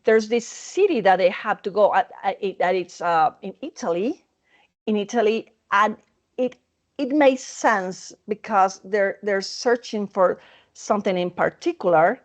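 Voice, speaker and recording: A woman's voice: mid-range voice, unhurried. A woman author answering interview questions, hesitant and repeating words as she finds them. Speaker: author guest.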